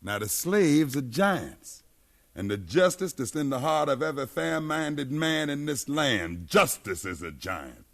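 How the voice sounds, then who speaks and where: An impassioned American rebel leader, swooping delivery. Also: male voice, fairly high pitch, very animated, unhurried. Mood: impassioned, persuasive. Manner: impassioned, oratorical. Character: bold, educated freed slave leading a revolt, persuasive. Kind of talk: 1950s radio drama acting. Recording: remastered 1950s radio broadcast.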